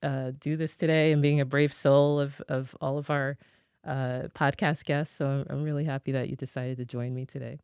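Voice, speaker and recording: A podcast host reading a guest's bio aloud with hesitant pauses and self-corrections, then starting the interview. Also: medium pitch, little pitch movement, a little mellow, clean tone, dry recording, clean studio sound.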